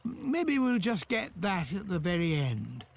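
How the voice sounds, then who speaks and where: A man's voice: sits high, very animated, unhurried, vocal fry, heavily acted. An actor voicing a fussy older male inventor who explains things patiently with a touch of condescension. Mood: explaining. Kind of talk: game voice acting.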